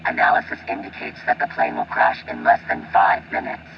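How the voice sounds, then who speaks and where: A man's voice: lively intonation, brisk, gravelly, full character voice. A man playing a formal, unemotional robotic flight engineer and bodyguard in a radio play, with a robot filter on the voice. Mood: calm, urgent advice. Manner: formal, precise, unemotional machine-like phrasing. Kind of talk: audio drama acting. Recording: distant, muffled, very noisy with vehicle rumble.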